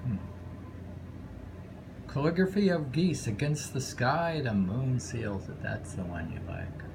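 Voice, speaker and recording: A man reads poems aloud at a slow, unhurried pace in a creaky, fairly low voice. He pauses to reflect and adds casual, musing remarks. A clear rumbling noise sits behind him. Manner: musing, informal, pausing to reflect. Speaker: poetry reader. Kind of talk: reading haiku aloud with casual commentary.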